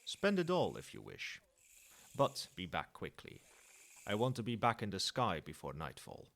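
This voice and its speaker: A man's voice: on the low side, huge pitch swings, normal speed, gravelly, a bit bright, heavily acted. A man playing a proud old dragon in human form in an ASMR roleplay, speaking in formal, lofty and teasing phrases, with fondness. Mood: brisk, commanding.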